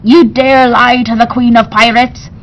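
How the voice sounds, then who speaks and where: A woman's voice: a little high, flat delivery, unhurried, muffled recording, slight background noise, voice pushed up, natural voice. Imperious, menacing pirate queen, put on by a woman reader. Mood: stern, suspicious. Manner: imperious, threatening, old-time pirate phrasing.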